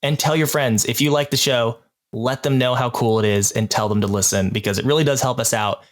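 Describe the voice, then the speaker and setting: A man's voice: medium pitch, fairly quick. A man hosts a casual podcast conversation. His voice sits in an average male register, and he talks informally, like someone chatting with friends in the cast. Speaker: podcast host interviewing the cast. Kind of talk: casual chat.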